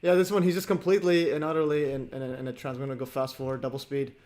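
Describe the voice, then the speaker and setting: A man hosting a livestream, chatting casually with many fillers and pauses. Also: medium pitch, level tone, a little mellow. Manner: filler-heavy, chatty, laughs often. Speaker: host.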